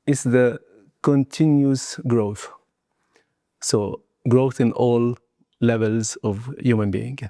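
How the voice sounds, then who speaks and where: A man in his sixties who grew up in southern Tunisia answers as an interview guest. His voice is mellow and relaxed, recorded close and dry. As a non-native speaker he picks his way through sentences in broken, hesitant phrases with small tags at the end. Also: mid-range voice, slow, dry recording. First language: not English. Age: 60s. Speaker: guest, martial arts and Qigong teacher. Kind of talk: reflective personal interview talk.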